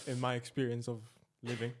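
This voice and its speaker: Mid-pitched young man, casual podcast guest. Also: monotone, moderate pace, a little hiss or hum. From northern Italy. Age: young adult. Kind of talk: casual conversation.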